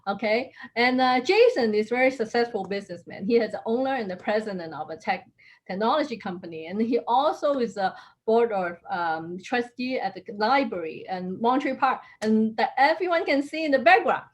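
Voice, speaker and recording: A woman hosting an interview, lively and quick to laugh. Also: on the high side. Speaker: host. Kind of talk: casual interview.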